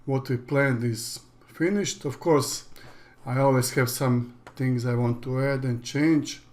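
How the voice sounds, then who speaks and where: A man from Croatia with a Croatian accent, a native Croatian speaker, talking through a screen-share tutorial as an online course instructor in a halting, plain way with frequent restarts. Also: mid-range voice, fairly even intonation, a little mellow.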